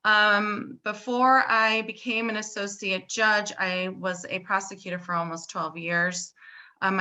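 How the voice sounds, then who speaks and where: Bright-voiced woman judge on a call. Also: lowish voice. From born in Puerto Rico, raised in Chicago area. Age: 40s. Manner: earnest, fluent with frequent ums. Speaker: judge, candidate. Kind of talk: candidate introduction on a video call. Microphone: video call.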